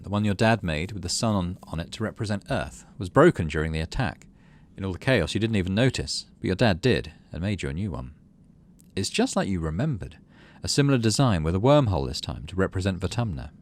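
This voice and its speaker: A man hosting a gaming video, reading visual novel text aloud and adding casual commentary. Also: low voice. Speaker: gaming channel host.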